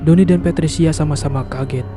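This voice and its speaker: Male storyteller for horror animation, fairly high voice, scripted narration with clear music underneath. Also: steady tone, a little fast.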